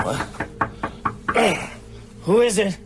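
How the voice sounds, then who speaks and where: A man's voice: high voice, big pitch swings, drawn-out words, loud music behind the voice, full character voice. An actor playing a sceptical, concerned friend in an audio drama, stammering with disbelief as he tries to reason. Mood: groggy, confused. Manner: hesitant, stammering, incredulous.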